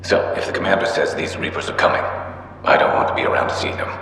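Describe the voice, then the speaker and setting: An actor playing an older alien veteran in a video game, a gruff, wary father speaking in a measured, confiding way. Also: very deep voice, a bit bright. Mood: grave, confiding. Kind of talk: video game dramatic acting. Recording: very echoey hall, faint hum.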